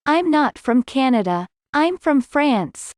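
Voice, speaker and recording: A woman reading scripted English lesson dialogue, slowly and clearly, in carefully spaced sentences. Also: high voice, very animated, no room sound, clean studio sound.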